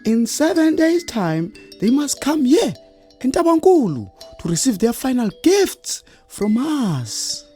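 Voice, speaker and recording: A woman's voice: high voice, sing-song, slow, mellow, husky, soft background music, heavily acted. A woman with a South African accent playing a stately, commanding creator spirit in children's audio theatre. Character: stately female creator spirit giving orders.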